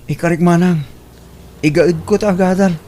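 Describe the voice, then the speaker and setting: A man's voice: high voice, fairly even intonation, normal speed, dark tone, natural voice. A man playing a humble, grateful young student brother with a Filipino accent, speaking deferentially in an Ilocano family radio drama.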